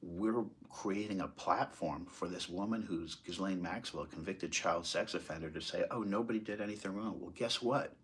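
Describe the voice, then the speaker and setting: Deep, husky American man. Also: a bit bright. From United States, moved there as a small child. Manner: halting, emphatic, rhetorical questions. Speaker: political commentator. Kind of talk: impassioned off-the-cuff commentary.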